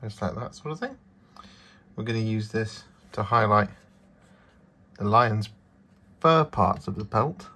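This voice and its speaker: A man's voice: lowish voice, a little slow, long pauses, pushed and bright. A man giving an instructional voice-over as the host of a painting tutorial. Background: background music.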